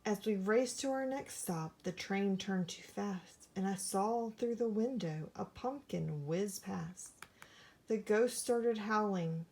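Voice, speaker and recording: Mellow-voiced woman reading a children's story. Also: mid-range voice, slow pace. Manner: theatrical, dramatic storytelling. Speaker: storybook reader. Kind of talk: expressive children's read-aloud of a rhyming story.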